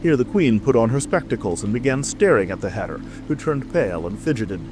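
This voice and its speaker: A man's voice: mid-range voice, expressive, brisk. A man reading a scripted storybook narration as a dramatic audiobook narrator. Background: music.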